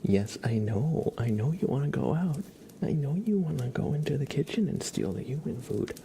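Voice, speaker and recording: A soft-spoken man hosting a live ASMR stream, chatting casually with viewers and often dropping into whispers, close to the microphone. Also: medium pitch, animated, moderate pace, gravelly, slight background noise. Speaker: ASMR livestreamer, host.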